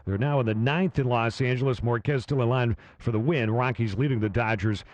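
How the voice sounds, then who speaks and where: A man's voice: mid-range voice, steady tone, fairly quick, darkish tone, no room sound. A man anchoring a scripted sports scoreboard update from a radio studio. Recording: dry studio sound.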